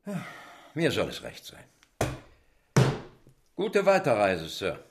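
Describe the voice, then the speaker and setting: An actor in a German radio drama playing a sceptical, correct consul with a measured, dismissive official manner. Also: male voice, mid-range voice, wildly swooping pitch, very noisy, heavily acted. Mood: polite, official. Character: sceptical, correct male British consul, mildly amused.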